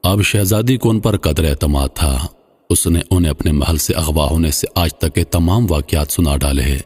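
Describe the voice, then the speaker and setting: A man narrating a scripted moral folk tale in formal literary style, with a measured, solemn delivery. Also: a bass voice, a little mellow, breathy edge. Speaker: storyteller, narrator. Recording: long hall-like reverb, slightly muffled voice.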